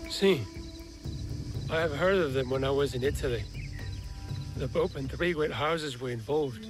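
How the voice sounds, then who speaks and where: A man's voice: mid-range voice, a little slow, music in the background, natural voice. An actor playing a courteous, devout European man in a video game, speaking in a formal, reflective way. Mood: solemn, confiding. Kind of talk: video game cutscene acting.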